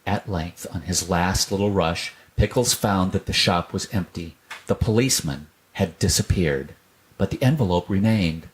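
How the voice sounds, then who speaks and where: Male narrator, slightly deep, scripted reading of a children's tale. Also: moderate pace. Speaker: storyteller.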